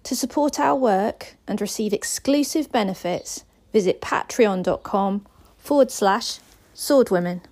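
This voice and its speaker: A woman hosting a podcast, introducing and interviewing in a clear presenting role. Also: medium pitch, measured pace, a little mellow.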